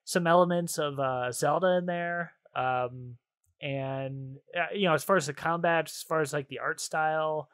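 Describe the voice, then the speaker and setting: A man with a slightly high voice chats casually as a gaming podcast host. He sounds enthusiastic and uses loose, informal slang. The sound is close and dry. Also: steady tone, moderate pace, a bit bright, dry recording. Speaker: video game podcast host. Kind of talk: casual chat about video games.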